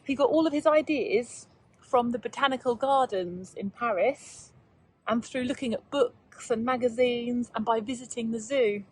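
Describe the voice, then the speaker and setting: A woman teacher reading aloud and chatting to young pupils in a measured, child-directed way. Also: a little high, breathy edge, poor audio. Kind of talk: children's read-aloud and informal lesson talk.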